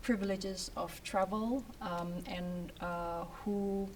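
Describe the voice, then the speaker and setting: A woman academic describing her research on a panel, speaking in a measured, halting way with many fillers. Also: mid-range voice, fairly even intonation, slight background noise. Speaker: academic and author. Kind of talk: panel talk. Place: event room. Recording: voice from a distant mic.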